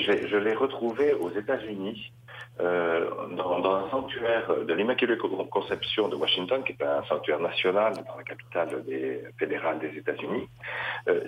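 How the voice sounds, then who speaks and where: Deep-voiced hesitant priest on radio. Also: male voice. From western France. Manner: hesitant, many pauses and restarts. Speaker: Dominican priest, guest. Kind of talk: radio interview. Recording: roomy, slightly dull radio sound.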